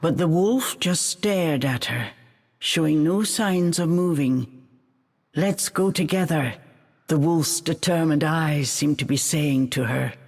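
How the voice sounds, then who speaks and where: A woman playing a storybook narrator, telling a fairy tale in a video game in a measured, dramatic way. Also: low voice, a little mellow, breathy edge, full character voice. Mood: storytelling. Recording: music behind the narration.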